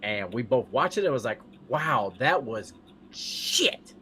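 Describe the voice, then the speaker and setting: A man of medium pitch chats casually as a podcast co-host. His phrasing is blunt and informal, and there is audible noise in the background. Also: very animated, slow pace, bright, forceful tone. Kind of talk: casual chat about movies.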